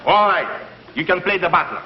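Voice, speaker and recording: A man playing an exasperated, bossy film director in 1950s radio comedy, brisk and impatient. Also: sits high, expressive. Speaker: supporting comic actor. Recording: muffled, faintly noisy 1950s radio recording, roomy.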